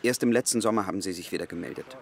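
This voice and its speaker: An actor playing an earnest secret agent in his thirties in a radio drama, explaining himself with measured insistence. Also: on the low side, creaky, slight background noise. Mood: earnest, confiding. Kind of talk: naturalistic radio drama acting.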